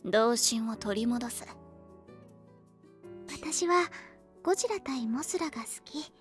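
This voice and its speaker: A woman voices a teenage schoolgirl from a story game. Her voice sits high and swings widely in pitch, with soft game music faintly underneath. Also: lively intonation, normal speed, lightly acted. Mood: teasing. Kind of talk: Japanese game voice acting.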